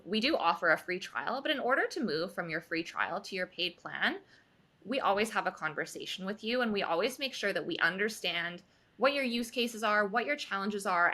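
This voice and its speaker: Lively, bright-voiced woman guest. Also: medium pitch. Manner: articulate, upbeat, laughs lightly. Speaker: guest, reliability advocate at a software firm. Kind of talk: conversational interview answers.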